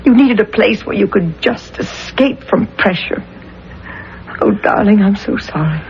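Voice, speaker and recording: An anxious, middle-aged American wife in old radio drama style. She is earnest and tender, with wide swings in pitch. The broadcast sound is dull and slightly noisy. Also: expressive, a little slow, a little mellow. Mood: remorseful, tender. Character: anxious, devoted wife tenderly soothing her accused husband.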